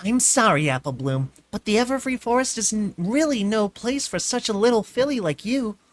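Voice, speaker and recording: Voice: mid-range voice, animated, no echo, pitched above the performer's own voice, close to an everyday voice. A reader voicing a sensible young female unicorn who speaks politely but firmly. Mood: stern. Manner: polite, then stern and hurried.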